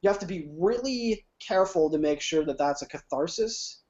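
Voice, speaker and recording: A man's voice: sits high, expressive, normal speed, a little mellow. A man from Ohio, a podcast guest talking in loose casual chat, rambling with many restarts and easy laughs. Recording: dull lo-fi podcast audio.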